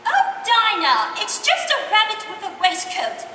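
Woman as a squeaky-high curious British girl. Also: very animated, measured pace, over music, exaggerated voice. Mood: wondering. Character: little English girl, curious and wide-eyed. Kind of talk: amateur sound-alike audition. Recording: echoey hall, clear noise.

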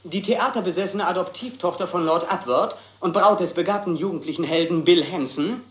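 An actor playing a sarcastic, taunting young stage performer in a German radio crime drama, theatrical in manner. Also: male voice, high-pitched, brisk, echoey room, lightly acted. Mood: mocking. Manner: theatrical, needling.

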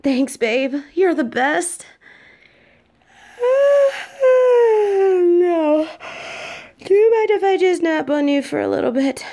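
A woman voicing a sweet, playful demon girlfriend who purrs, talking softly and affectionately in an audio roleplay. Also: very high voice, sing-song, slow, pauses often, heavily acted. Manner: soft, affectionate, drowsy.

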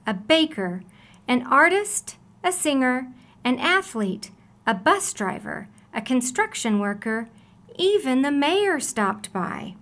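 A woman reading a children's story aloud in an expressive, deliberate storytelling manner. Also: sits high. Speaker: storybook reader.

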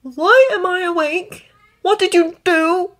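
Woman voicing a quiet, wry ancient male dragon. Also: fairly high pitch, big pitch swings, pitched above the performer's own voice. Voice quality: quiet but firm. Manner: wry, measured, formal. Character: wry, gentle ancient male dragon, weakened yet regal.

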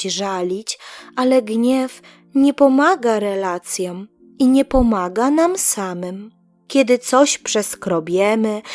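Slow-paced woman narrating for children. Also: mid-range voice, no room sound. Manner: measured, deliberate, with pauses between short phrases. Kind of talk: scripted narration of a moral tale for children. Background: music.

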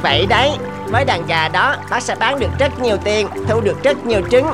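A woman voicing a small, cunning, sweet-talking goldfish in an animated fable, coaxing and expressive. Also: on the high side, fairly quick, loud music behind the voice, natural voice.

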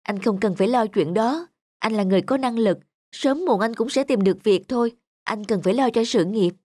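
A woman playing a gentle, supportive young wife in a cartoon, speaking in a soothing, reassuring way. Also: a little high, a little fast, dry recording, studio quality, close to an everyday voice. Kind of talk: cartoon dubbing. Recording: music behind the voice.

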